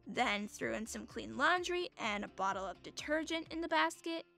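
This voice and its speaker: A woman's voice: on the high side, expressive, normal speed, gravelly, sharp tone, soft background music, exaggerated voice. A woman playing a chatty, crafty gamer friend in an audio drama, explaining things casually and playfully.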